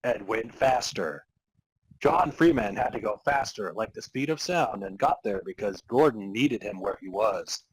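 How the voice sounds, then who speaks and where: A man's voice: fairly low pitch, lively intonation, unhurried, slight background noise, low-quality recording. A man reading amateur fan fiction aloud as narrator, in a mock-serious dramatic storytelling style. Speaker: podcast host reading as narrator.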